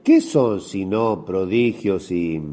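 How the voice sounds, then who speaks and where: A man's voice: sits low, slow, mellow. A man playing a suspicious, probing Pharisee in a religious radio drama, questioning with insinuation.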